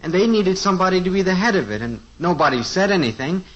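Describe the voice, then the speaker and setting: A man's voice: sits high, lively intonation, fast, fairly bright tone. An actor playing an eager, scheming teenage son in a 1950s radio sitcom, earnest and breezy, fumbling his words. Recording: dull lo-fi old radio transcription.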